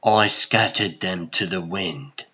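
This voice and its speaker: A man voicing a weary, resolute sorcerer in a comic dub, speaking with husky, restrained firmness. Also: sits low, pushed and bright, highs cut off, exaggerated voice. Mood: quietly resolute. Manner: restrained, measured, firm. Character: weary, resolute sorcerer standing up to an old friend. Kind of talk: dramatic comic-dub acting.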